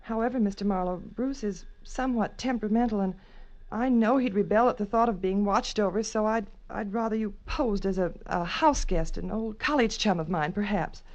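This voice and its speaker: A woman's voice: mid-range voice, moderate pace, darkish tone, close to an everyday voice. An actress playing an anxious, refined young married woman in a 1940s radio drama, earnest and hesitant in manner. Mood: worried, urgent. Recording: dull old radio recording, faint music.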